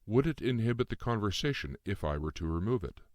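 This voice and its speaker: A man voicing a calm, cryptic shape-shifting spirit guide in an audiobook, speaking in a measured, formal way. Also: lowish voice, dry recording, clean studio sound, pitched above the performer's own voice. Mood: composed, explaining. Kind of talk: audiobook character dialogue.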